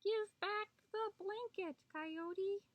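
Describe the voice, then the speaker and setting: A tiny storybook hummingbird, acted out by a woman, high in pitch. She is wise and firm, admonishing with steady insistence, in a dry recording. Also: very slow, no echo, dull audio, exaggerated voice. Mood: stern. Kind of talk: children's storybook telling.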